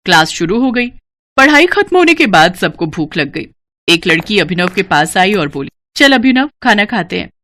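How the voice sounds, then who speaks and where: Animated female storyteller, faint background noise. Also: fairly low pitch, moderate pace. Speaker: story narrator. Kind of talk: scripted children's moral story narration.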